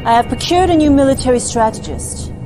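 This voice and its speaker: A cold, imperious female adviser in a science-fiction game drama. Her delivery is formal, clipped and commanding, over clear background music. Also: high-pitched, expressive, brisk, poor audio. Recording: music bed behind the voice.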